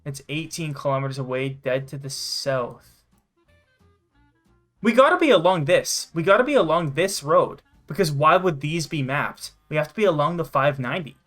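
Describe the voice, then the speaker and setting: A young man giving excitable, sweary, casual commentary as he plays a game. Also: fairly high pitch, moderate pace, faint music behind. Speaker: gaming channel host.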